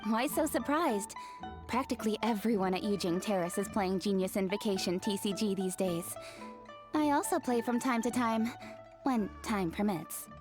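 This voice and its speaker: A woman's voice: mid-range voice, normal speed, music in the background, lightly acted. A woman playing a poised, courteous young noblewoman in an anime-style video game, speaking formally and politely. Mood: composed.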